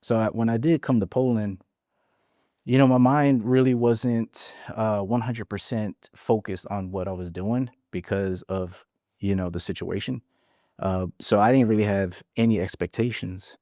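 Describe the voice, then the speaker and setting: A man's voice: fairly low pitch, steady tone, unhurried, warm tone, no echo, studio quality. A man from Hawaii in his forties, a podcast guest frankly telling his story and often restarting his words. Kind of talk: casual interview storytelling.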